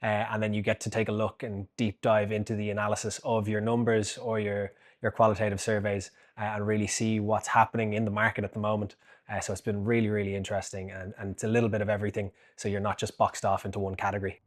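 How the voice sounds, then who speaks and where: A man in his twenties, a graduate student, giving a reflective testimonial with frequent pauses and fillers. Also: fairly low pitch, little pitch movement. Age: 20s.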